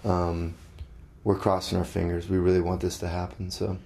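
A middle-aged musician being interviewed, talking casually with many halting restarts. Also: male voice, low voice, little pitch movement, vocal fry, warm tone.

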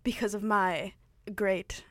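A woman's voice: mid-range voice, steady tone, slow, a bit bright, dry recording, studio quality. A young woman from Argentina hosting a casual radio chat, talking with lots of likes and ums and laughing often. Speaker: radio host. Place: radio studio.

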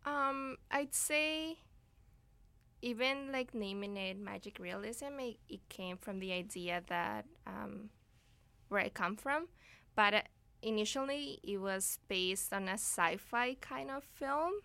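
Bright, creaky-voiced young woman, hesitant. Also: mid-range voice, slow, no room sound. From Ecuador. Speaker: interview guest, student filmmaker. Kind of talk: casual interview answers. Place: radio studio.

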